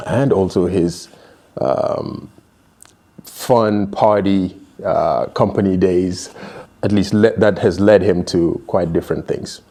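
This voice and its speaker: A man in his thirties hosting an interview, asking halting questions and backing the guest up with frequent short replies, in a small studio room. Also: low voice, warm tone. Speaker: interview host. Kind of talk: casual interview.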